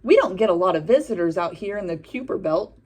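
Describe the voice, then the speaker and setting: A woman's voice: lowish voice, expressive, fast, a little mellow. A woman voicing a cheerful, chatty little planet in a children's book, eager and a bit boastful.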